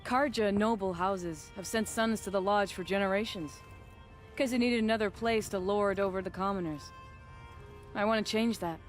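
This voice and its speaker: A woman's voice: mid-range voice, normal speed, faint music behind, natural voice. A woman playing a proud, defiant young noblewoman hunter in a video game, firm and assertive, with bitterness and determination. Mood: indignant. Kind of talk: video game dramatic acting.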